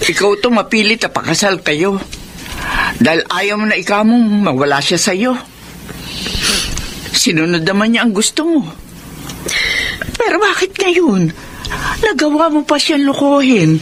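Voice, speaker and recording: A woman's voice: fairly high pitch, slight background noise. An actress playing a concerned middle-aged mother scolding her daughter in a Filipino radio drama, with a Filipino accent. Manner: chiding, motherly.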